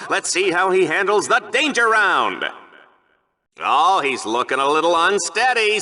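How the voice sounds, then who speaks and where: An actor playing a smug, excitable TV arena commentator in a video game, with a pompous, theatrical announcer delivery. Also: male voice, high voice, exaggerated voice.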